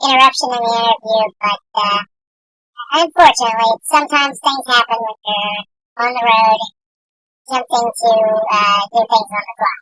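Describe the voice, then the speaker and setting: A woman's voice: on the high side, fairly even intonation, moderate pace, pushed and bright, dry recording, low-quality recording. A woman narrating a casual voice-over for a travel video, explaining with frequent hesitations. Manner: casual, hesitant, many uh fillers.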